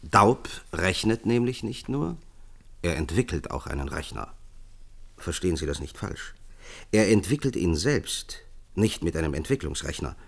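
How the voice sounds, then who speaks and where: An earnest expert in a science-fiction radio play. His voice is very low, and he speaks formally, lecturing and persuading as if laying out a grand project. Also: very animated, a little slow, creaky, full character voice. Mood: explaining. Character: earnest male science expert pitching a visionary project to leaders. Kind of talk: German science-fiction radio drama acting.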